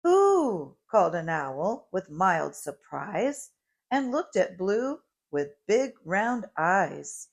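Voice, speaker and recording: A woman reads a picture book for preschoolers. Her delivery is very slow and deliberate, with lively swings in pitch and an expressive storytelling manner. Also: vocal fry, slightly rough. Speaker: children's read-aloud host.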